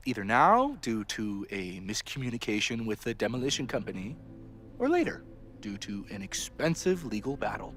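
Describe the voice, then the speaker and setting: An actor playing a harried young student society president, wry, theatrical and exasperated, in a video game. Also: male voice, fairly low pitch, very animated, moderate pace, a bit bright. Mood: earnest, resigned. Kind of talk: naturalistic video game acting. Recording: music behind the voice.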